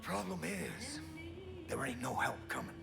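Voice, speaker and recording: A gruff older American survivalist for a video game cutscene. His voice crackles with gravel, and he talks in a blunt, cynical, folksy way. Music plays clearly underneath. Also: on the high side, very animated, measured pace, full character voice. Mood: urgent, determined. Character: gruff, weary older male survivalist, cynical but defiant. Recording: dramatic music behind the voice.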